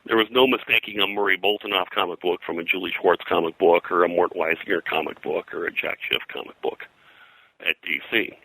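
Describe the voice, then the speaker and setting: An older man talking in a rambling interview style, halting and digressive as a guest historian. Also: low-pitched, fairly even intonation, gravelly, pushed and bright, husky, no echo, poor audio. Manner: halting, digressive, frequent "you know". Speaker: guest, pop culture historian.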